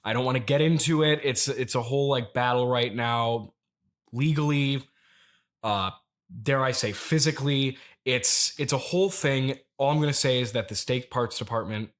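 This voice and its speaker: A man playing an earnest, rambling turnip farmer in an improvised mock interview, halting, evasive and repeating himself as he goes. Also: medium pitch, a bit bright, close to an everyday voice. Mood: defensive, aggrieved.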